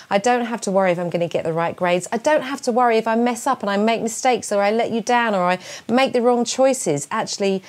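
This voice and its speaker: A woman in her forties giving an earnest, encouraging devotional talk and prayer to young people, recorded on a lapel mic in a small room. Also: mid-range voice. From England. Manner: earnest, encouraging, speaks with open hand gestures. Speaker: speaker giving a keynote talk. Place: small room at home, indoors.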